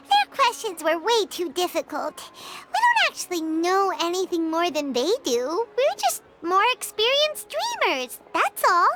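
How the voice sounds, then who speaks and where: A woman voicing a small, excitable female fairy companion in a video game, with a squeaky, childlike voice. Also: slow, hardly any pauses, heavy background noise, heavily acted. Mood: exasperated. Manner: bubbly, refers to self in third person. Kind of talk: animated video game dialogue.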